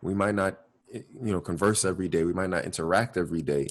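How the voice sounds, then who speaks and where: Young male host, very low voice, laid-back reflective chat. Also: fast talker. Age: young adult. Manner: thoughtful, fillers like "you know".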